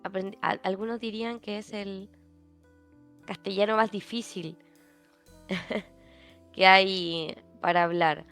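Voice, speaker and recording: A woman's voice: medium pitch, fairly even intonation, slow pace, long pauses, fairly bright tone, faint music behind. Chilean woman hosting and reading questions. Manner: rambling, reads audience questions. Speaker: podcast host. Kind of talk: casual interview chat.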